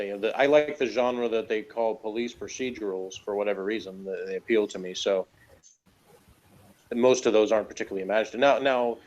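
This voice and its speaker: A man's voice: on the low side, darkish tone, highs cut off. A man in a casual review chat, talking in a rambling, hesitant and wry way.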